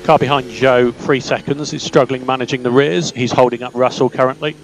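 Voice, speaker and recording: Calm male race engineer on noisy radio. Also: mid-range voice. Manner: calm, measured, terse. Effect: team radio. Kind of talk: clipped team radio instructions. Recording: noisy radio link with car hum. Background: car engine hum.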